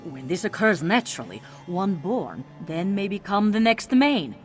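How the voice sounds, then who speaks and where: Actress doing a formal cat lady envoy from a fantasy game, dutiful, lively intonation, music behind. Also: mid-range voice, normal speed, lightly acted. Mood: measured, formal. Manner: formal, refers to herself in third person. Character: formal female Khajiit cat-folk envoy, measured and dutiful. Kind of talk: video game character dialogue.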